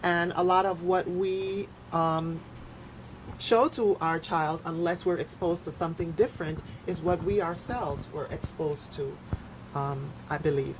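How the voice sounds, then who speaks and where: A woman with a fairly low voice explains things on a discussion panel. Her delivery is measured, earnest and articulate, and there is clear noise in the background. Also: steady tone.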